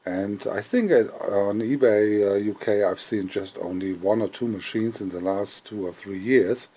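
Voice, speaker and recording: A man's voice: lowish voice, unhurried, mellow, breathy edge. A man giving an informal, unscripted demonstration as a hobbyist, speaking hesitantly and repeating phrases for emphasis. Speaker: hobbyist presenter demonstrating vintage audio gear.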